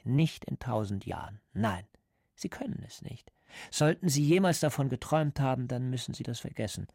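An actor playing a calm, cold party interrogator in a radio drama, speaking in a measured, lecturing way. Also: male voice, mid-range voice, gravelly, no echo, studio quality. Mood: dismissive, certain. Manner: measured, didactic.

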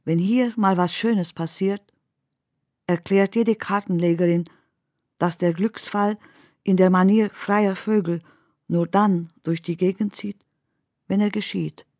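A woman performs a female narrator of dreamlike radio drama. She recites surreal literary prose slowly and deliberately, at a middle pitch. The recording is dry and close. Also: fairly even intonation, darkish tone, dry recording, lightly acted. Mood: measured, poetic.

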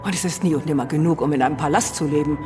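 Actress as a low-voiced, sour old mother-in-law. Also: over music, close to an everyday voice. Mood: disdainful, carping. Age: older. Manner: carping, drawn-out scolding. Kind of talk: radio drama acting.

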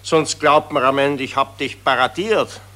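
An actor playing a naive, petty Viennese amateur poet in a satirical radio play, earnest and colloquial. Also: male voice, mid-range voice, unhurried, bright, forceful tone. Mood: aggrieved. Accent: Austrian, Viennese.

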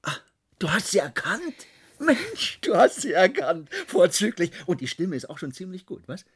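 An actor playing a cheeky, unrepentant programmer who justifies himself breezily in a German radio drama. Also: male voice, sits high, huge pitch swings, moderate pace, husky, heavily acted. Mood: startled, excited. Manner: breezy, exclaiming, self-justifying. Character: cheeky, defensive male programmer, playful and unrepentant.

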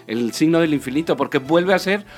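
A man's voice: on the high side, animated, brisk. Playful Spanish man chatting over music. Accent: Spain. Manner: joking, playful. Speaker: radio show co-host. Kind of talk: casual chat. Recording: music behind the voice.

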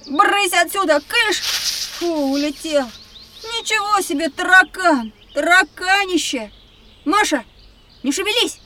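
A very high-voiced, excitable young boy, teasing and easily grossed out, in a children's audio adventure. He exclaims a lot, a little away from the mic with nature noise behind. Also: a little slow, far from the mic, full character voice. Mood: alarmed, squeamish. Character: lively, cheeky, squeamish young boy explorer. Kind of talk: audio drama acting for children.

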